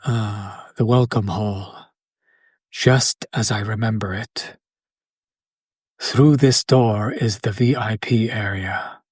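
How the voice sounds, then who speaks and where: A man's voice: lowish voice, steady tone, slow, darkish tone, breathy edge, no echo, clean studio sound. A man playing a charming, old-world vampire in a romantic audio roleplay, speaking to his beloved in formal, attentive, archaic phrasing. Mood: genteel, admiring. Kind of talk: romantic audio roleplay, intimate scripted acting.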